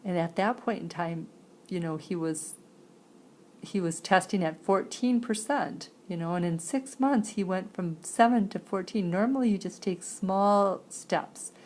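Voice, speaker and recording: A woman telling a personal story conversationally, in halting phrases, with a creaky voice. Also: fairly low pitch, darkish tone. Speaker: sign-to-voice interpreter.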